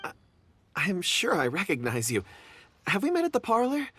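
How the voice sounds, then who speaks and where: A man voicing a polite, eager and slightly puzzled young assistant in a video game, with an expressive delivery. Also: high voice, normal speed, a little hiss or hum, full character voice. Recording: music behind the voice.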